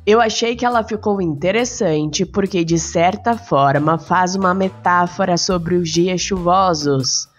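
A woman's voice: on the low side, a little slow, few pauses, soft background music. A woman with a Brazilian accent, a storyteller and podcast host narrating and chatting to children.

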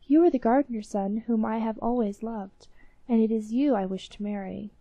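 A woman voicing a gentle young princess in a storybook reading. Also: on the high side, normal speed, dry recording, dull audio. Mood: tender.

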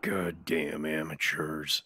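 A man's voice: extremely low pitch, level tone, slow, sharp tone, slight background noise, pitched below the performer's own voice, exaggerated voice. A man voicing a bitter, laconic ex-military sniper, terse and grumbling, in a motion comic. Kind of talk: motion comic character acting.

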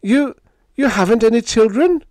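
An earnest young male lieutenant from pulp science fiction, acted out by a male narrator. His voice rides high and swings widely, with formal, urgent emphasis. The sound is dry and close. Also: animated, moderate pace, darkish tone, no echo, natural voice. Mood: excited.

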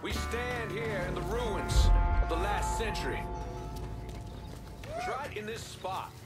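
A man's voice: sits high, unhurried. An actor playing a haughty, hot-tempered, bigoted middle-aged Southern man in a video game, with an emphatic, oratorical delivery. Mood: solemn, rousing. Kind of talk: dramatic video game acting. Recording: music behind the voice.

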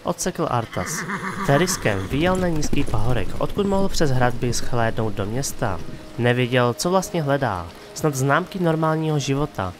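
A man's voice: medium pitch, very animated, background noise. A man hosting and narrating a scripted, dramatic fantasy story. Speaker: narrator and host. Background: faint background music.

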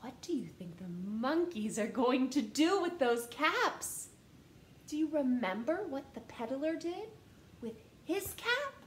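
A woman in her thirties reading a picture book aloud to children in an expressive, gentle way, seated on a couch at home. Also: sits high, slow pace. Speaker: storytime reader. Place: living room, seated on a couch. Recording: echoey room with faint hiss.

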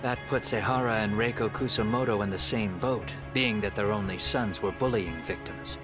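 Man acting a composed, calculating fugitive, low-voiced. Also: music in the background, close to an everyday voice. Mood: analytical. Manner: measured, deliberate, explanatory. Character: composed middle-aged male fugitive avenger, calculating and grave. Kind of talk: dramatic video game voice acting.

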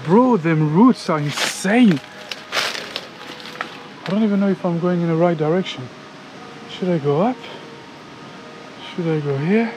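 A man in his thirties from France, vlogging casually outdoors on a jungle trail with a handheld action camera, chatty and excitable, sweaty and a little out of breath from climbing. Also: high-pitched, unhurried, pauses often, rough recording. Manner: chatty and excitable, talks to viewers as guys, swears. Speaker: travel vlogger. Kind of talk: casual vlog commentary. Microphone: handheld action camera at arm's length.

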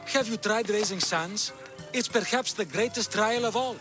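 An actor playing a shifty, fussy middle-aged Greek swindler in a video game, hesitant yet grandiose, over music. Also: male voice, high-pitched, moderate pace, close to an everyday voice. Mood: evasive, grandiose. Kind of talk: video game cutscene acting. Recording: music behind the voice.

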